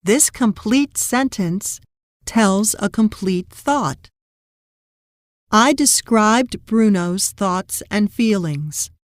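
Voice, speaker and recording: A woman narrating a scripted children's lesson and story read-aloud, deliberately and instructionally. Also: medium pitch, animated, no room sound, clean studio sound.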